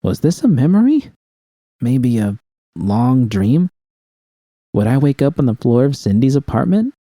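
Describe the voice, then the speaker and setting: A man's voice: mid-range voice, very animated, a little slow, mellow, no echo, clean studio sound. A man narrating scripted first-person fiction as an audiobook reader.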